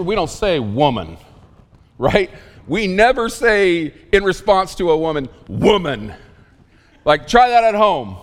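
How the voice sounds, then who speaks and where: A man preaching a sermon in a casual, emphatic way, with asides and quick questions to the congregation. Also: high voice, in a large hall. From Oklahoma. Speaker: pastor.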